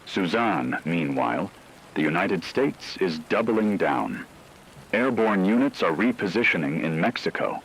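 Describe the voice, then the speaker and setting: A man voicing a grave radio war correspondent, reading a scripted news report in a formal, measured way. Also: low voice, expressive, slight background noise, low-quality recording.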